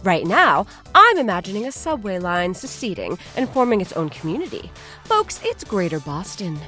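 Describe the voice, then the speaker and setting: A woman hosting a podcast with a scripted comedic promo, playful and theatrical with deadpan asides. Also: mid-range voice, moderate pace. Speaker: podcast host. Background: faint music.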